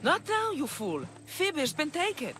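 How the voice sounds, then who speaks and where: An alarmed, agitated game villager with an urgent, snapping delivery. The voice is very high, bright and swooping. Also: male voice, huge pitch swings, a little slow, full character voice. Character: agitated, urgent villager bearing bad news. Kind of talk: video game character acting.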